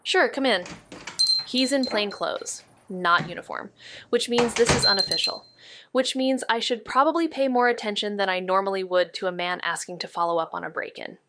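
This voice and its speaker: A woman playing a wry, wary young amateur detective in a noir podcast drama, with a deadpan, sardonic way of talking. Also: mid-range voice, moderate pace. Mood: guarded. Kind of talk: first-person noir podcast drama narration and dialogue.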